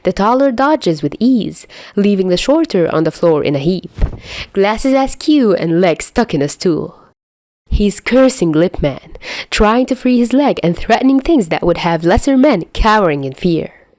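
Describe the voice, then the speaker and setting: A woman narrating fan fiction in an expressive storytelling style. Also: medium pitch, measured pace, no echo. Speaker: podfic narrator.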